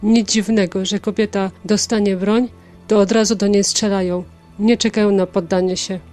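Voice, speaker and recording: Actress as female passenger, mid-pitched, composed, matter-of-fact, crime radio play, music behind. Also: steady tone, normal speed, mellow, close to an everyday voice. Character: calm female taxi passenger recounting a grim crime. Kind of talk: audio drama acting.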